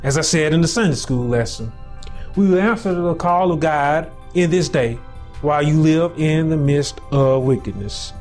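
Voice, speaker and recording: A pastor in his forties preaching a sermon in a small indoor room on a lapel mic, building to shouted peaks between slow, drawn-out phrases. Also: on the high side, faint music behind.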